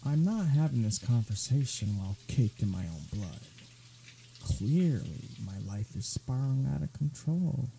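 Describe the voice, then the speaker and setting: Guy acting out a dark velvety vampire, super breathy n gravelly, smug n teasing, close up asmr roleplay. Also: medium pitch, a little hiss or hum, poor audio. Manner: sarcastic drawl, teasing and taunting. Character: sardonic male vampire, centuries old, smug, teasing, grudgingly fond.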